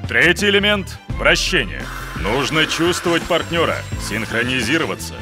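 An actor playing a calm, patient adult lion coach in a children's cartoon, speaking in a measured, instructive way. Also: male voice, on the high side, over music. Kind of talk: animated children's series acting.

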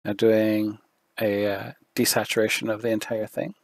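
A man giving a screen-recorded software tutorial, thinking aloud with fillers, his voice going hoarse. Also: fairly low pitch, level tone, normal speed, no room sound. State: losing his voice, throat clearing.

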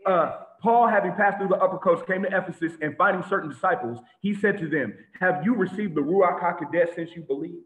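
A man preaching an animated sermon to a congregation over a video call, with lots of repetition and sudden shouts. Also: high voice, fairly quick, hardly any pauses. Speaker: teacher of a congregation. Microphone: video call webcam. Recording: tinny phone-like echoey audio.

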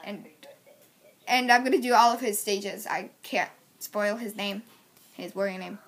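A woman's voice: medium pitch, measured pace. A woman chatting casually as she introduces her amateur voice audition lines. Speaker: amateur voice actor.

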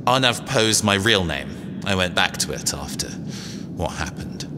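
A man's voice: low voice, lively intonation, unhurried, long reverb tail, noisy background. A man playing a cagey, self-assured robot engineer mercenary in an audio drama, wry, conversational and hesitant. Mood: wry, recounting.

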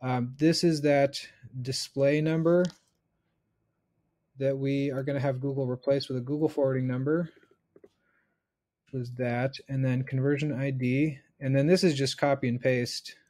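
A man in his thirties giving a casual software tutorial, informally thinking aloud as he goes step by step. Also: mid-range voice, steady tone, unhurried. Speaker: instructor.

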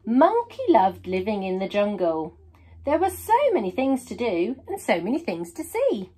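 A woman's voice: mid-range voice, big pitch swings, moderate pace, mellow, slightly rough. A woman in her thirties reading a children's picture book aloud expressively, with dramatic emphasis on key words. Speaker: storybook reader.

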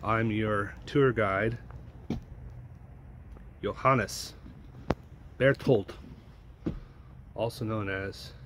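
A middle-aged man walks through a small house, narrating in an unhurried, offhand way and thinking aloud. He films on a phone he holds, so the sound is close and a little plain. A faint hiss sits under his voice. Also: fairly low pitch. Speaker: tour guide. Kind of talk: casual walkthrough narration. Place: small house, indoors, walking room to room. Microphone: phone held while filming.

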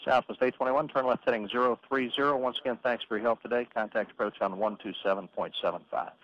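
A man working as an air traffic controller, giving brisk, procedural instructions in radio phraseology with filler pauses. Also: medium pitch, fairly even intonation. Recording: muffled radio transmission with hiss.

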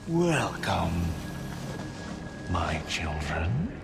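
Old menacing game villain, grandiose preacher, very slow delivery, music behind. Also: male voice, deep voice, big pitch swings, creaky, heavily acted. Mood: solemn, grandiose. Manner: solemn, pompous preaching. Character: old, grandiose, menacing male cult leader. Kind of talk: dramatic video game acting.

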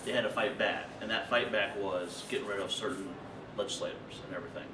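A man's voice: on the low side, fast. Workshop speaker, man in his thirties, gesturing, casual explainer; noisy distant room sound. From rural Illinois. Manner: animated, gesturing, loose conversational explaining. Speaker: invited speaker. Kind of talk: conference workshop talk. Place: meeting room at a table. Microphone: far camera. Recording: echoey room from far off, noisy.

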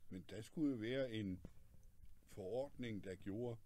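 Older man, calm and agreeable. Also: on the low side, a little hiss or hum. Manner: calm, agreeable, many short assents, hesitant fillers. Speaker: candidate and guest. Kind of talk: casual political conversation. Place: clothing shop, indoors.

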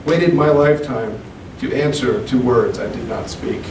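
A man's voice: medium pitch, darkish tone. Man reading poems in an echoey room. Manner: slow, deliberate reading with casual asides. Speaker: open mic poet. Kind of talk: poetry reading. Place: small room at a podium. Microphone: handheld mic. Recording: echoey room, camera from far off, hum.

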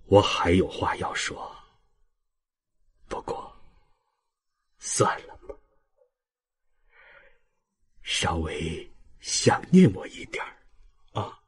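Audiobook role: a dying, tender old man, low and very husky, halting and emotional. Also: heavily acted. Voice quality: husky, breathy, whispering. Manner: halting, emotional, fading speech. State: weak, dying. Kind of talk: audiobook character acting.

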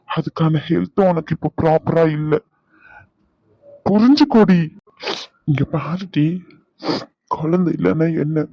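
Man acting pleading husband, creaky and close. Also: on the high side, dry recording, muffled recording. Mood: distressed pleading. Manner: pleading, soothing, repeats endearments. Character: anguished, tenderly consoling husband comforting his crying wife. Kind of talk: emotional domestic audio drama.

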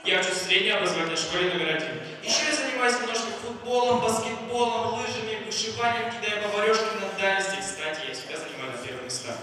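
A man's voice: high-pitched, quick pace, few pauses, pushed and bright. A young man giving a rehearsed self-introduction as a contestant on a theatre stage. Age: teens to young adult. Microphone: handheld microphone through hall speakers. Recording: very echoey hall, distant, clearly noisy.

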